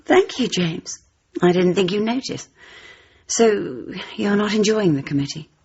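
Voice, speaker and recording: An actress playing a poised, arch British secretary in a radio drama, coy and playful, with an English accent. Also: female voice, mid-range voice, expressive, a little slow, a little mellow, slightly rough, muffled recording. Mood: teasing, fond.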